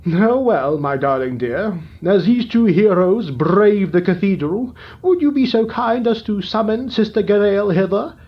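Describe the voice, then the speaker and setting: A man's voice: high voice, animated, unhurried, hardly any pauses, pitched above the performer's own voice. A man voicing a lovesick old townsman groaning about his gout, with a theatrical, fawning manner, in an audio drama. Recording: dull lo-fi recording.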